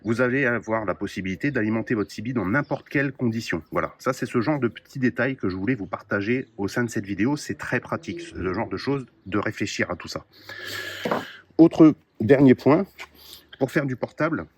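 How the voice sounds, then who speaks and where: A man showing his radio kit to the camera in a casual, explanatory way, often pausing with hesitant fillers. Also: mid-range voice, lively intonation, slightly rough. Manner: explanatory, many "euh" pauses. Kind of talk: casual gear show-and-tell.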